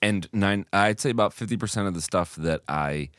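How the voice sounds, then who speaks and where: A man's voice: low voice, moderate pace, fairly bright tone, smooth, dry recording, studio quality. A young man from New York chatting casually on a podcast, joking often and laughing easily. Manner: laughs often, jokey, puts on voices. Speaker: podcast co-host. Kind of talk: casual chat. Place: restaurant.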